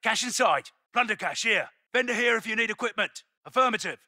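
An actor playing a tough, focused British special forces soldier in a military video game, giving clipped, commanding combat callouts. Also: male voice, high-pitched, animated, a little fast, sharp tone, dry recording, clean studio sound, heavily acted. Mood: low, controlled. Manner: clipped, commanding, terse radio-style callouts.

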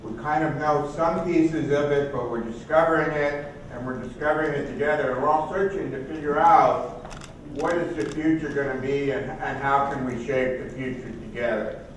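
A man in his sixties giving a conversational keynote speech in an informal, halting way. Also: on the high side. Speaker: keynote speaker. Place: large hotel conference ballroom, at podium. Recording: echoey hall from far off, noisy.

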